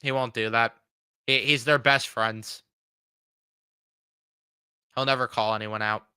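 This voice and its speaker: Blunt, bright-voiced young man ranting. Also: mid-range voice, fairly even intonation, slow, clear, resonant tone, no room sound. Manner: blunt, frustrated, swears freely. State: fighting a cold. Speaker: sports fan show host. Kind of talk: casual reaction commentary.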